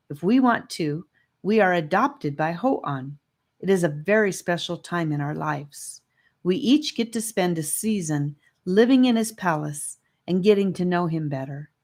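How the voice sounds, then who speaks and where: Woman playing boy leader of a group, measured and reflective, children's fantasy storybook. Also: lowish voice, lively intonation, a little mellow. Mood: thoughtful. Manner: thoughtful, measured. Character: thoughtful boy leader, calm and explaining.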